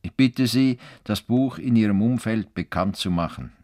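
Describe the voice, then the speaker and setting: An actor playing a stubborn, idealistic older farmer in a radio play, speaking earnestly and formally with a Swiss German accent. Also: male voice, on the low side, moderate pace, a little mellow, close to an everyday voice. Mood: solemn, convinced. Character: stubborn, idealistic male farmer with grand plans.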